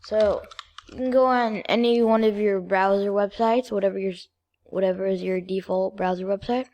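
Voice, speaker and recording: A female-sounding speaker giving a casual, halting step-by-step software tutorial as a screen-recording voice-over. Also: medium pitch, steady tone. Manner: informal, halting step-by-step instructions. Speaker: video creator giving a tutorial.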